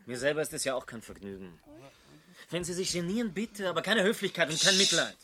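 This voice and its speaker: Bitter, proud young man, sharp-voiced. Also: fairly high pitch, expressive, fairly quick. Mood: ashamed, defiant. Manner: agitated, self-deprecating, rambling. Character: embittered, proud, shabby young man ashamed of his poverty. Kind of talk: German radio drama acting.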